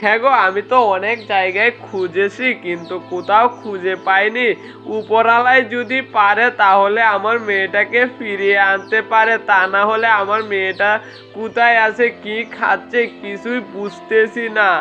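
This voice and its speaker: A woman's voice: medium pitch, steady tone, moderate pace, sharp tone, highs cut off, faint music behind, natural voice. A woman voicing a worried, resigned farmer father in a children's cartoon. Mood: worried, helpless. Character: worried farmer father missing his daughter.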